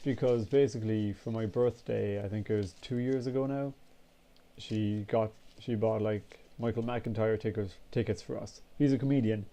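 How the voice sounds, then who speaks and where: A man's voice: fairly low pitch, normal speed. A man, congested and sniffly, rambling casually in a vlog. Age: 20s. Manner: rambling, relaxed, casual swearing. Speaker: vlogger. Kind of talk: casual chat.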